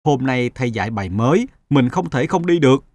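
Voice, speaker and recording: A man's voice: mid-range voice, animated, fairly quick, a little mellow, dry recording, lightly acted. A man voicing an earnest, self-doubting young adult son in a moral-story cartoon. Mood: eager. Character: earnest thirty-year-old son, restless and self-doubting.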